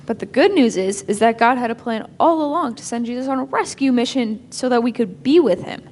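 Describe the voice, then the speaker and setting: A sincere young niece, played by a woman, eager and earnest with a fairly high voice. She talks a little haltingly in a natural drama style, with faint noise in the background. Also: darkish tone, close to an everyday voice. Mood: earnest, glad.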